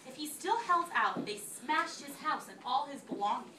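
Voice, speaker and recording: A woman teacher reading aloud to a class in an instructive way, pausing to check understanding with questions. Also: sits high, expressive. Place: school classroom. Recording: echoey classroom, distant mic, faint noise.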